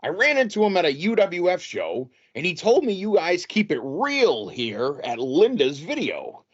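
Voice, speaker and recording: Man acting a husky, grandiose promoter. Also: sits high, huge pitch swings, normal speed, dull audio, heavily acted. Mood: ingratiating. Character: grandiose, slick wrestling promoter man, smooth-talking salesman. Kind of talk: comedic audio play acting.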